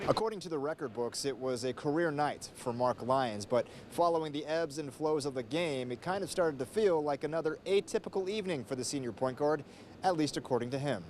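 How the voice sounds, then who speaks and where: A man's voice: a little high, brisk, darkish tone, a little hiss or hum. A man delivering a scripted television sports report as a field reporter.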